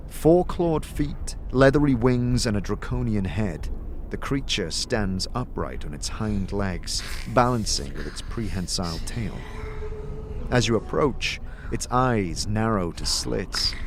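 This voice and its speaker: A man's voice: on the low side, noisy background. A man giving dramatic, scripted storybook-style narration of a game tale in a theatrical, descriptive manner. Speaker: narrator and announcer. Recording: clear music behind.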